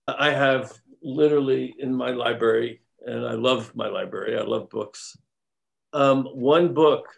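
Gravelly man explaining his business. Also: mid-range voice. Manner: measured, explains step by step with restarts. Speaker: leadership consultant guest. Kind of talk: explanatory interview talk.

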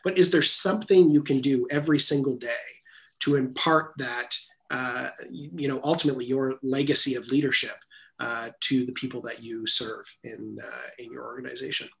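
Earnest man in a measured interview. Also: mid-range voice, fairly even intonation, vocal fry, a bit bright, rough recording. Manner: earnest, measured, repeats words while rephrasing. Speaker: guest author. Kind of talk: reflective interview talk.

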